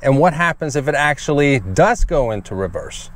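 A man's voice: fairly high pitch, normal speed. A man in his forties vlogging from the cab of his moving pickup truck, chatting casually and laughing a lot, with a dashboard camera picking him up. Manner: excitable, laughs often, shouts when thrilled. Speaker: car vlogger. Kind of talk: casual vlog while driving. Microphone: camera mounted on dashboard. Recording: engine and road noise in a truck cab. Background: road and engine noise.

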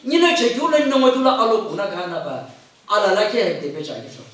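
A middle-aged pastor delivers an emphatic sermon in a very high-pitched male voice. He repeats phrases in a declamatory way. The sound rings heavily around the hall and sits at a distance from the microphone. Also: lively intonation, fast, a bit bright. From Nagaland, India. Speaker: pastor, preacher. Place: indoor church hall, at a pulpit. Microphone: microphone on a stand at the pulpit. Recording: echoey hall, picked up from a distance.

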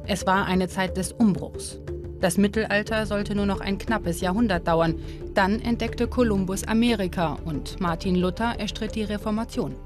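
A woman giving scripted documentary narration in a measured, even storytelling delivery. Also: medium pitch. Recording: narration over loud music.

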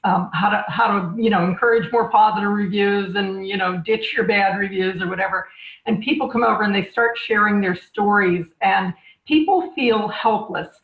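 A woman in her fifties speaks at medium pitch, measured and modest. The video-call audio is thin and phone-like, with clear room echo. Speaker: guest business publication executive. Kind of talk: casual interview answer.

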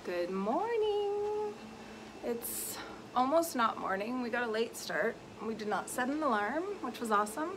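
A woman's voice: fairly high pitch, very animated, measured pace, background noise. A woman in her forties, chatting casually to a phone held at arm's length in a travel vlog, giggling now and then. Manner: chatty, giggly, talks to camera. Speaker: vlogger. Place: car, restaurant and hotel hallway. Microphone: phone camera at arm's length.

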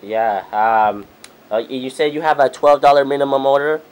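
A young adult man talks casually, as if placing an order on a phone call. His delivery is halting and he often repeats himself. His pitch sits in an ordinary middle range. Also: smooth. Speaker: prank caller, video host. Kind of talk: casual phone prank call, playing a customer. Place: small room at home.

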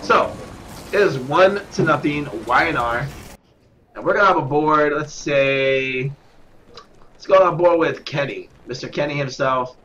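A man with a stuffed-up nose, commentating live at a gaming tournament, getting excitable on big kills. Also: fairly high pitch, measured pace. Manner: excitable, shout-outs to viewers, bursts of hype on kills. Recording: noisy with game gunfire behind.

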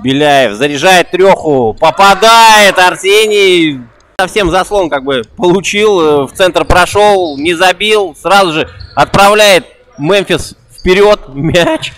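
Excitable male basketball commentator, higher voice. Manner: quick excitable play-by-play with a repeated catchphrase. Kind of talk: live play-by-play commentary. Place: indoor basketball gym.

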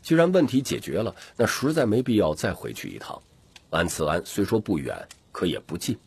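A man's voice: lowish voice, big pitch swings, a little fast, creaky, slightly rough, dry recording. A man reading dramatic scripted fantasy narration as an audiobook narrator.

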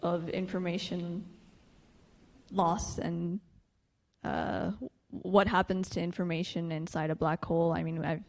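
A woman's voice: lowish voice, level tone, measured pace. A woman physicist answering questions on a panel in a lecture hall, thoughtful with many fillers.

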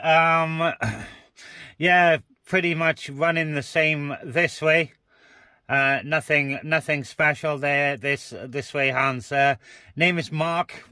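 A man's voice: a little high, pushed and bright, no room sound, studio quality. A man chatting casually as a CB radio operator, speaking inside a parked car. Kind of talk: casual two-way radio chat.

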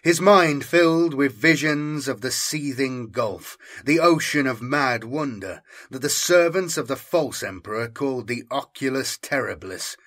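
A man narrating a dark science fiction audiobook in a dramatic, theatrical and ominous manner. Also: medium pitch, expressive, a little slow, few pauses.

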